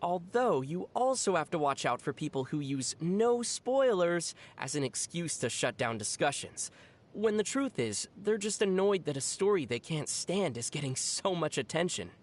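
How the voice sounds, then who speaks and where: An actor voicing a calm, teasing young adventurer in a video game, with a dry, knowing manner. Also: mid-range voice, moderate pace. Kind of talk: video game character voice acting.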